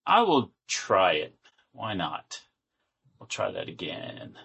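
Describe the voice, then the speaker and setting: Low, bright, husky-voiced player. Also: male voice, sing-song, slow pace. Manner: joking, self-deprecating. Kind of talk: casual game chat.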